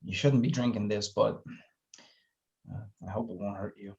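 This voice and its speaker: An actor playing an awkward, sarcastic, lovesick young man in a screenplay table read, with halting, stammering delivery. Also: lowish voice, steady tone, vocal fry, darkish tone, lo-fi sound. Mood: concerned.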